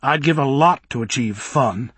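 Man doing a sardonic, sharp-tongued young woman. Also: mid-range voice, moderate pace, slightly rough, no room sound. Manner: dry, sardonic.

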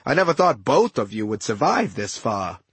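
A man's voice: medium pitch, very animated, normal speed, breathy edge, dry recording, voice pushed down. A man voicing a smug villain magician in an audiobook, glib, chatty and condescending with mock friendliness. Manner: glib, condescending, casual wise-guy patter. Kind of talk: audiobook character dialogue.

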